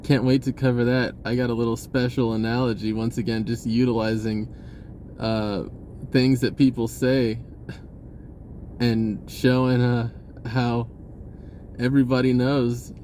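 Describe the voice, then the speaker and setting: A man's voice: mid-range voice, level tone, unhurried, long pauses, mellow, slight background noise. A man giving a casual, rambling spiritual talk, restarting his sentences and chuckling now and then. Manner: rambling, laid-back, with frequent restarts and chuckles. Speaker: spiritual vlogger.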